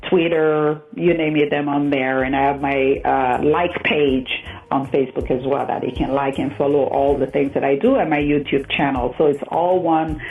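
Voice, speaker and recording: A woman from Nigeria, a matchmaker, chatting as a guest in a radio interview and laughing often. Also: deep voice. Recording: tinny phone line.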